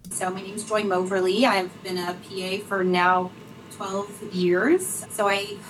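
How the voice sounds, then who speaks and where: A woman's voice: medium pitch, level tone, measured pace. Woman guest telling her story from a distance. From North Carolina. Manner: conversational storyteller, with fillers like "you know" and "like". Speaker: guest, program director. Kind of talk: interview answers, personal story. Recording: distant mic with faint background noise.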